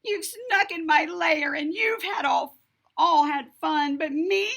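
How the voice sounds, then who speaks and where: A woman's voice: very high voice, takes it slowly, a bit bright, voice pushed up, exaggerated voice. A woman voicing a big storybook bear who wails in a childishly aggrieved, self-pitying way. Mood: aggrieved, wailing. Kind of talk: picture book read-aloud.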